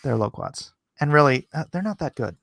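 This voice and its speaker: A man's voice: on the high side, lively intonation, a little fast, gravelly, a bit bright, no room sound. A man hosting a casual live online art auction, chatting and reading out comments in a playful, hammy way. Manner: playful, theatrical, hammy countdowns.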